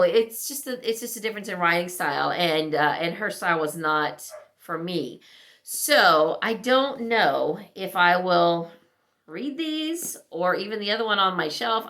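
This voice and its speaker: A woman with a slightly low voice gives a casual vlog-style review. She talks conversationally, as if to a friend, and often thinks aloud in halting asides. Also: fairly bright tone. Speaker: book vlogger reviewing recent reads. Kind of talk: casual chat to camera.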